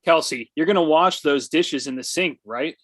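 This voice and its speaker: Opinionated grumbler, played by a male actor, higher male voice, no room echo. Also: moderate pace, fairly bright tone. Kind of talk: comedy script table read.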